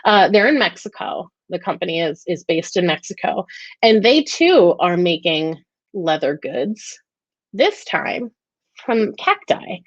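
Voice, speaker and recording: A woman's voice: lowish voice, big pitch swings, measured pace, dry recording. Chatty American woman on a lo-fi stream. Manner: chatty, enthusiastic, many fillers and restarts. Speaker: host of a weekly live talk and Q&A. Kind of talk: casual livestream chat.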